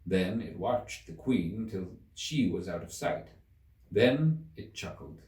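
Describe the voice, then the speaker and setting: A man giving an expressive scripted narration of a story as its reader. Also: sits low, slow pace. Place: room inside a museum. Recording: echoey room, distant mic.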